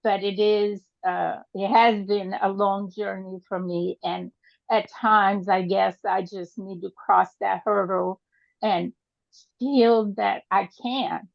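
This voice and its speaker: Brazilian-born older woman on a video call, slow and halting, gravelly, dull lo-fi sound. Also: mid-range voice, steady tone, dry recording. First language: Portuguese. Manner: halting, hesitant, many fillers. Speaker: group participant. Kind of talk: casual sharing in an online group.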